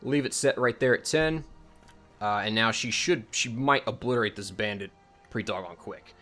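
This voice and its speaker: A man's voice: fairly low pitch, normal speed, fairly bright tone, faint music behind. A man giving a casual, unscripted video game tutorial, talking informally and rambling as he corrects and second-guesses himself. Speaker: video host and mod creator demonstrating a game mod.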